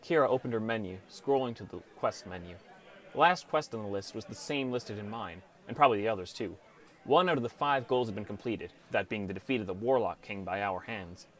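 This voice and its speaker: A man's voice: lowish voice, a little fast, a bit bright. A man reading scripted first-person story narration as an audiobook narrator.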